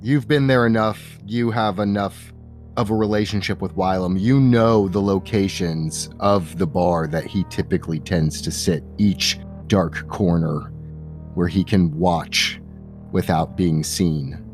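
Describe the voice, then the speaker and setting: Low voice guy game mastering a tabletop rpg, bit of music under him. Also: measured pace, a little mellow. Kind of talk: improvised tabletop game narration.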